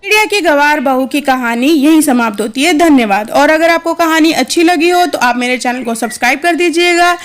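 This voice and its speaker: A woman's voice: high-pitched, fairly quick, few pauses. A woman storyteller giving scripted narration for a children's cartoon and addressing viewers as the channel host.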